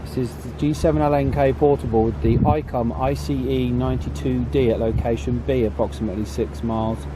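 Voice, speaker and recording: A man's voice: mid-range voice, fairly even intonation, fairly quick, hardly any pauses. A British man hosting a radio gear review in a casual, conversational way. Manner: informal, conversational. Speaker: radio review video host. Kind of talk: casual hands-on product review. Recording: very noisy, vehicle and radio noise.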